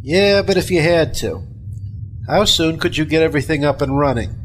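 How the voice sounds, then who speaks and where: A man's voice: fairly high pitch, lively intonation, moderate pace, slight background noise, lightly acted. A man playing a wry spaceship pilot in a science fiction audio drama, with informal talk and clipped commands. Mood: uneasy, wry. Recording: loud music behind the voice.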